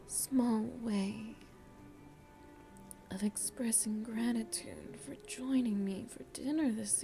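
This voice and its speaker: A woman voicing a seductive, motherly vampire hostess in an ASMR roleplay, breathy and soft, with a tender, teasing manner. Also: mid-range voice. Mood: concerned, coaxing. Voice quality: breathy, whispery.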